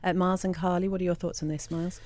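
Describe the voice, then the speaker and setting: Female talk radio host, quick delivery, mellow lowish tone, conversational, studio setting. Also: flat delivery. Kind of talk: live talk radio presenting.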